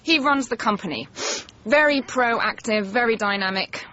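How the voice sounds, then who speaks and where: An actress playing an eager, flattering television development executive who gushes in sales jargon in a radio comedy. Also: female voice, fairly high pitch, moderate pace, lo-fi sound. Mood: brisk, selling. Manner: gushing, jargon-laden salesmanship. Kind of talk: radio comedy drama acting.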